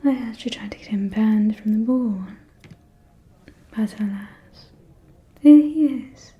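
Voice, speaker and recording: A woman playing a catty, gossipy aristocratic vampire socialite in an ASMR roleplay, speaking in a soft, breathy, conspiratorial whisper with drawn-out, teasing delivery. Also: mid-range voice, highs cut off, natural voice. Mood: scandalized gossip.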